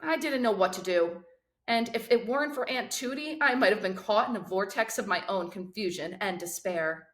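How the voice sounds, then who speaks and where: A woman narrates a children's novel in an expressive read-aloud style. Her voice sits at a middle pitch, and the recording has a clearly roomy, echoing sound. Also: normal speed.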